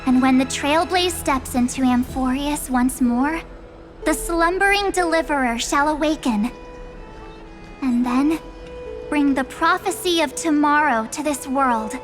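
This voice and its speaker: An actress playing a spirited young girl, earnest and playful, with an expressive delivery for a video game. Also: sits high.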